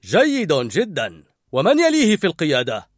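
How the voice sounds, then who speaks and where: A gentle old male chef in a storybook, patient and approving as he teaches, as a woman puts him on. The pitch swings expressively and the sound is close and dry. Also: wildly swooping pitch, normal speed, dry recording, exaggerated voice. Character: kindly old male chef, encouraging mentor.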